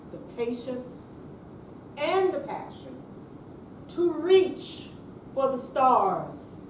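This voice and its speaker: Very slow, high-voiced woman reciting. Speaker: reader in senior center readers theater. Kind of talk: slow dramatic recitation with some singing. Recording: roomy, distant live recording, faint noise.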